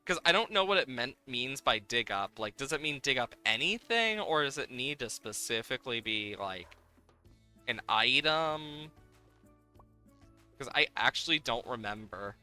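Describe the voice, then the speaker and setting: A man live-streaming a game, chatting casually with viewers in an animated, exclamatory way and sometimes swearing. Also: mid-range voice, a little slow, clean tone, faint music behind.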